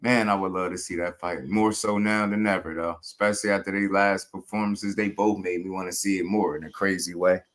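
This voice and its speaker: A man hosting a casual boxing talk show, animated and slangy, breaking into laughs as he riffs on fights. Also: sits low, a little fast, few pauses. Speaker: boxing podcast host.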